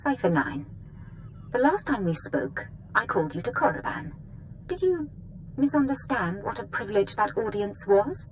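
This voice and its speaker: An actress playing a haughty, threatening young dark lord in a video game, speaking theatrically. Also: female voice, medium pitch, big pitch swings, moderate pace, a little hiss or hum, close to an everyday voice, rough recording. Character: imperious, menacing young female dark lord, daughter of a dead master. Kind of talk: scripted video game acting.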